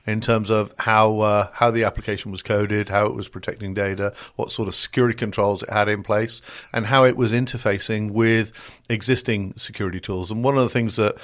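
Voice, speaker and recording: A middle-aged British man speaks as an interview guest. His voice is fairly low, and he talks conversationally, pausing often as he pieces his explanations together. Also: fairly even intonation, fairly bright tone. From Britain. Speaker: podcast guest, security strategist.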